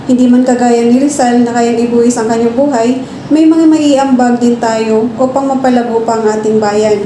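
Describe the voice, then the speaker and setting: Woman playing an encouraging student, higher voice. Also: steady tone, moderate pace, lightly acted. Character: kind, encouraging young student advising a classmate. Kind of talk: amateur radio drama acting. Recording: echoey room, clear background noise.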